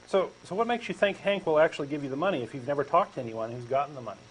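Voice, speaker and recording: A man's voice: on the high side, racing, husky, low-quality recording. A man with a Texan accent reading a comic dialogue as a hesitant, skeptical questioner who stammers. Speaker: co-host doing a comic dramatic reading.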